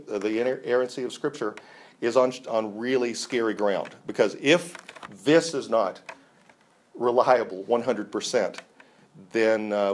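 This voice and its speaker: Enthusiastic man teaching a Bible class. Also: medium pitch. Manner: enthusiastic, conversational with many restarts and fillers.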